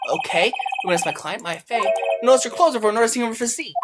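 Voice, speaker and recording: A woman's voice: a little high, animated, racing, lightly acted. A woman voicing an earnest, easily rattled young male defense lawyer, indignant and challenging, in a video game fandub. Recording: loud music behind the voice.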